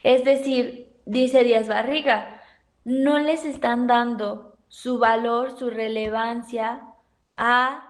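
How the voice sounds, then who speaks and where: Mexican lady teacher giving a lecture, talks real slow and keeps asking the class stuff. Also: fairly high pitch, steady tone. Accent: Mexican Spanish. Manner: questioning the class, thoughtful pauses. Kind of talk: university pedagogy lecture.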